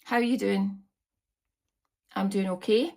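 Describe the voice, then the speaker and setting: A woman's voice: mid-range voice, fairly even intonation, unhurried, vocal fry, a little mellow. A Scottish woman in her fifties chatting to camera from home, meandering with frequent ums. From Scotland. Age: 50s. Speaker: vlogger. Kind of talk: casual chat to camera. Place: at home on a farm, indoors.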